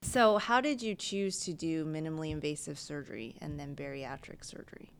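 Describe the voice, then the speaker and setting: A woman interviewer asking questions in a soft, relaxed way. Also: sits low, fairly quick, slight background noise.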